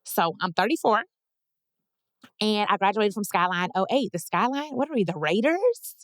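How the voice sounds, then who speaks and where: A woman in her thirties from Dallas, hosting her own podcast in a playful, animated solo chat with her listeners. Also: mid-range voice, normal speed, dry recording, studio quality. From Dallas, Texas. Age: 30s. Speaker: podcast host and comedian.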